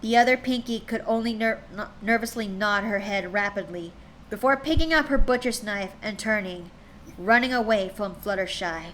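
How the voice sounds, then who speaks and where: A woman's voice: medium pitch, normal speed, a bit bright, a little hiss or hum, poor audio. A woman streamer reading fan fiction aloud to her chat, chatty and tripping over words now and then.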